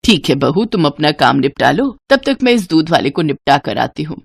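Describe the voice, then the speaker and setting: A woman's voice: low-pitched, big pitch swings, normal speed, creaky, husky, no room sound, voice pushed down, full character voice. A woman voicing an illiterate, trusting older mother-in-law in a story, homely, earnest and a little fretful. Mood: assured.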